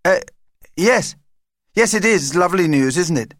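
A man voicing a sensible, gently insistent young girl in a children's storybook, earnest and polite. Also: high-pitched, lively intonation, a little slow, dry recording, studio quality, pitched above the performer's own voice. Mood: polite, hesitant.

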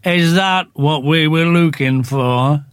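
A man's voice: on the high side, clear, resonant tone, dry recording, clean studio sound. Guy putting on a mopey old donkey, drags every word out, super glum. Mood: mournful. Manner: slow, drawn-out, self-pitying. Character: gloomy old male donkey, slow and mournful.

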